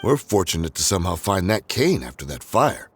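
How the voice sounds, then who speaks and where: A man's voice: sits low, sing-song, fairly quick, a bit bright, soft background music. An actor playing an earnest, eager young male companion in a video game. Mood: curious.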